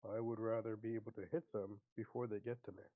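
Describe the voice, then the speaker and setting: A man's voice: on the low side, flat delivery, quick pace, no echo. A man playing a tabletop game, chatting casually and a little defensively, with some swearing, over a narrow tinny line.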